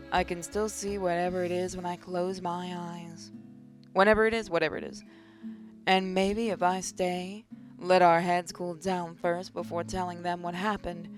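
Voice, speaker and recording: A woman hosting a gaming channel, reading visual novel narration aloud as expressive first-person storytelling. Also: on the low side.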